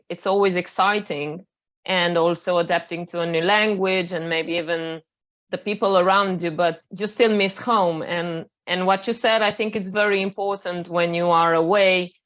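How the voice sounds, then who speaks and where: A woman from Romania hosting a live online interview, often pausing and restarting her sentences. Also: fairly low pitch, fairly bright tone, lo-fi sound. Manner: hesitant, restarts phrases often. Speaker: interview host. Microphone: video call.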